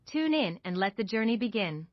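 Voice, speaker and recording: A woman's voice: medium pitch, very animated, normal speed, no echo. Dry lo-fi woman promo voice. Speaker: show promo announcer. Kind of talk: scripted promo read.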